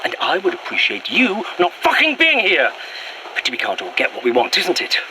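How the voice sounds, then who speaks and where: A man's voice: on the high side, huge pitch swings, moderate pace, very noisy. A man playing an irritable, sarcastic, sweary modern companion in a found-footage audio drama. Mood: bitter sarcasm.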